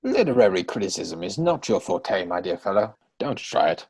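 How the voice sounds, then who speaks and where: A man acts out a witty, idle young gentleman of Victorian stage comedy. His delivery is arch and theatrical, swooping up and down in pitch with a husky edge. He teases and pries in elaborate, formal sentences. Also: sing-song, normal speed, full character voice. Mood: airy, witty. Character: witty, idle young English dandy, playfully prying bachelor. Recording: home recording over video call.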